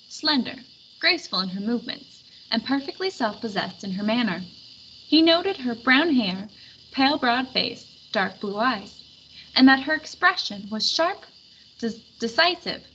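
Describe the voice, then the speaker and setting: A woman reading a story aloud as narrator, with expressive scripted delivery. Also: fairly high pitch, normal speed. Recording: dull lo-fi recording with background noise.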